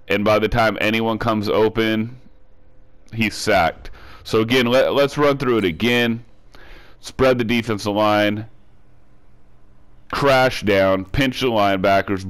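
A man with a lowish, smooth voice, congested from a cold, narrates a video game tutorial. He repeats each step and restarts his words often, in a casual, instructive way. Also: fairly bright tone. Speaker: gaming tutorial creator.

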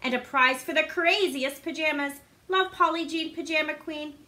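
A woman reading a storybook aloud to young children in an animated, sing-song way, often putting questions to the listeners. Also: high voice, moderate pace. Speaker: children's read-aloud presenter. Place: living room indoors. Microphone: device camera a short distance away.

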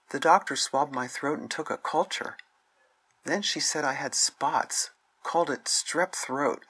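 A man's voice: medium pitch, sharp tone, husky, exaggerated voice. A man voicing a friendly fifth-grade schoolboy in a scripted children's puppet show.

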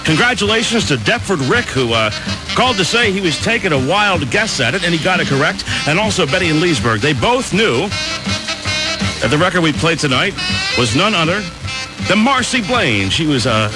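A man's voice: fairly high pitch, very animated, moderate pace, low-quality recording. A man hosting an oldies radio show with upbeat, chatty, informal patter, captured off the air. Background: music.

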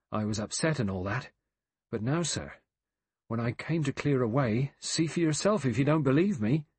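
A man acts a flustered English manservant in a mystery novel. He is deferential but insistent and colloquial, his husky voice swinging up and down in a dry recording. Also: mid-range voice, no room sound, pitched above the performer's own voice.

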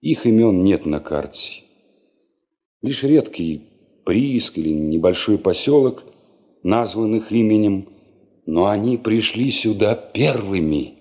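An actor narrating a 1970s Soviet radio drama as a solemn storyteller, measured and reflective. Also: male voice, fairly low pitch, expressive, warm tone, highs cut off.

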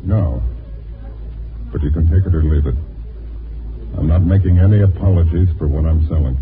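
A man's voice: extremely low pitch, normal speed, a little hiss or hum. An actor playing a hard, cagey, gray-haired schemer down on his luck in a 1950s radio drama, on a tinny recording. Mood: cynical, resigned. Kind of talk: 1950s radio noir acting. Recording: tinny band-limited old radio recording.

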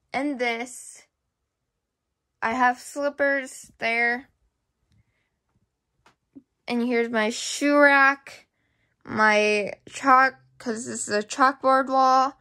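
Slow, halting young woman vlogger. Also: a little high, a bit bright. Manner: hesitant, halting, thinking aloud. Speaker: vlogger giving a house tour. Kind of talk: casual rambling home-tour chat to camera. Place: rooms of a home, indoors. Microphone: handheld camera or phone at arm's length.

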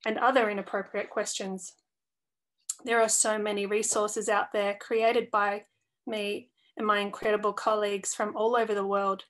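Young woman reading an essay out loud, kinda monotone, earnest, trips over a word now and then. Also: mid-range voice. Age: young adult. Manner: earnest, steady read-aloud delivery with small stumbles. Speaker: reader of a youth publication. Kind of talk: reading a personal essay aloud.

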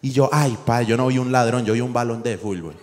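A man's voice: mid-range voice, a little fast, darkish tone. A man with a Medellín accent doing live comedy, telling slangy, profane anecdotes and acting out the dialogue. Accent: Colombian, from Medellín. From Medellín, Colombia. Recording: roomy live venue, faint background noise.

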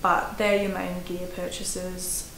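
A woman's voice: lowish voice, little pitch movement, slow, creaky, a bit bright. An Australian woman in her thirties giving casual, practical hiking-gear advice to camera, chatty with fillers, recorded in a small room. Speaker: hiking vlogger. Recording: roomy echo, faint background noise.

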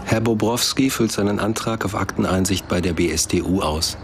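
A man's voice: deep voice, a little fast, background noise. A man narrating an audio walk with scripted instructions and short introductions. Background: loud music, vehicle.

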